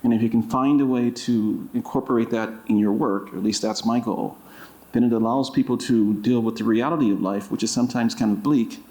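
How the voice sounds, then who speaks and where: A man giving deliberate, thoughtful interview answers as an author at an indoor public event. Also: mid-range voice, steady tone, mellow. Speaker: author and creative writing professor being interviewed. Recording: roomy, echoing library event sound.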